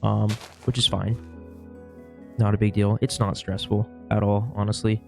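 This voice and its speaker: A sick young man with a worn, gravelly voice, rambling casually through a vlog with lots of fillers and restarts. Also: on the low side, level tone, moderate pace, warm tone, soft background music. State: sick, losing his voice. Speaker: vlogger; shift manager at work. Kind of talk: casual talking-to-camera vlog.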